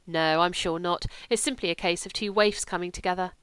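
A woman voicing a concerned, reserved English gentleman in an audiobook, speaking earnestly. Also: on the low side, fairly even intonation, brisk, smooth, no room sound, close to an everyday voice. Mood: matter-of-fact. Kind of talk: audiobook character reading.

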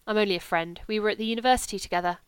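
Woman voicing a formal, insistent young Englishman, clean and smooth. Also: medium pitch, rapid-fire, dry recording, lightly acted. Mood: firm. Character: polite, firm young Englishman on business.

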